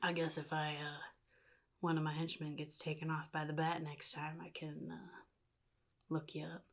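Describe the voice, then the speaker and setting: Woman acting a menacing clownish supervillain, low-pitched, breathy and husky, often whispering, offhand drawl, soft-spoken ASMR roleplay. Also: flat delivery, moderate pace. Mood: quietly menacing. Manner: casual drawl, offhand, slyly threatening.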